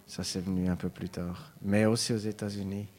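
A man, a musician answering interview questions in a halting way. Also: low-pitched, level tone, a little mellow. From Switzerland. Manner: halting, with many fillers and restarts.

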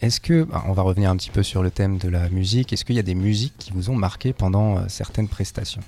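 A man's voice: low voice, fast, warm tone, soft background music. A man interviewing guests, hesitant and searching for his words. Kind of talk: relaxed interview questions.

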